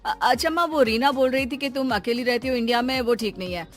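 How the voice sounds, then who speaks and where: एक महिला हिंदी कहानी में बेसब्र, रूखे जवान बेटे का किरदार निभा रही है, झिड़कने और टालने वाले लहजे में।. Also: female voice, a little high, fast, faint music behind, close to an everyday voice. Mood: hesitant, persuading. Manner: curt, scolding, exasperated. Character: grown son, impatient, dismissive, cold toward his mother. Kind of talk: Hindi moral story dramatisation.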